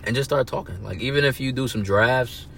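A man's voice: mid-range voice, a little fast, bright, forceful tone, a little hiss or hum. A young man talking as a podcast guest in laid-back slang, with swearing and dry jokes. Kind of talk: casual podcast chat.

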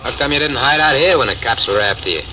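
A ruthless, greedy gang boss who makes menacing threats in an old radio crime drama, as a man plays him. He sounds a little set back from the mic, and the old recording is dull with clear hiss behind it. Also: mid-range voice, animated, fast talker, recorded from a distance, natural voice. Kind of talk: 1940s radio acting.

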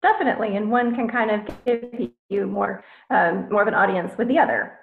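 A woman's voice: medium pitch, a little fast, gravelly. A woman hosting a podcast interview in a casual way, using many fillers. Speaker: podcast host. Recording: echoey, phone-like lo-fi sound.

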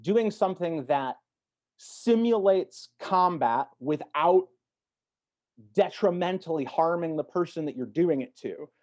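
A man's voice: a little high, animated, measured pace, a little mellow. A man talking casually as a podcast guest, explaining things in a thoughtful, conversational way.

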